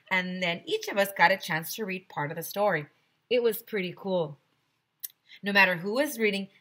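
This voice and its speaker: A woman teacher giving an expressive children's read-aloud, explaining things clearly with asides for young listeners. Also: fairly low pitch. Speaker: teacher reading aloud to pupils.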